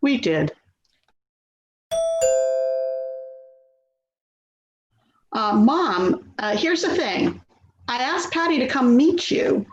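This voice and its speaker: A woman's voice: sits high, sing-song, a little slow. Woman playing a harried daughter, muffled echoey audio. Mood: apologetic. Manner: hesitant, pleading, weary. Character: harried, placating adult daughter of an elderly mother. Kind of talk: readers theater stage acting. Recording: dull, echoey, clearly noisy audio.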